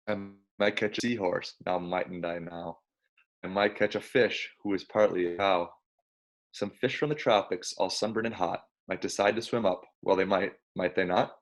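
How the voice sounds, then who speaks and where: Low-voiced young man, slightly dull sound. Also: creaky. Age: early 20s. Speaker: college basketball player, guest. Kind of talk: casual chat and reading a children's storybook aloud.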